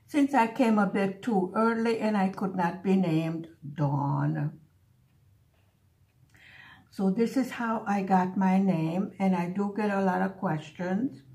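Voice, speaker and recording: A woman in her seventies, a native Hungarian speaker who grew up in the USA, chatting casually and reminiscing with pauses as she works on a craft. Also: mid-range voice, darkish tone. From born in Hungary, grew up in the USA. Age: 70s. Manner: unhurried, pausing storytelling. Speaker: craft vlogger.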